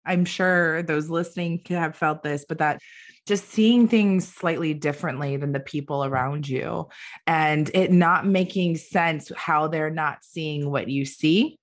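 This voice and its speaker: A woman's voice: sits low, measured pace. A woman hosting a conversational interview, affirming and reflecting back what she hears. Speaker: interview host.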